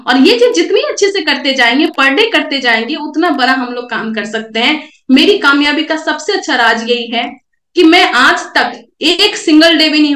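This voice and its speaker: A woman's voice: high voice, fairly bright tone, reverberant. A young woman with a sore throat gives a coaching seminar talk, direct and repetitive, and coughs now and then. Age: young adult. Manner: coaching, direct, repeats points. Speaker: network marketing speaker. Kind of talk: motivational seminar talk with slides.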